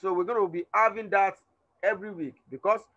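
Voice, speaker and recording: A man with a hoarse, tired voice teaching a live online trading class, repeating himself and urging students to respond. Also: high voice, steady tone, fairly quick, a bit bright, muffled recording. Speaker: trading instructor. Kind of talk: live online class over a chart screen.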